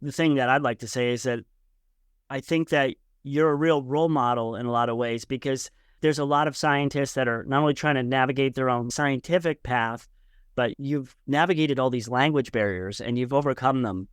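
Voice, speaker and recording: Male podcast interviewer, mid-pitched and crisp, thoughtful conversational style, very dry sound. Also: moderate pace, dry recording. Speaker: podcast host.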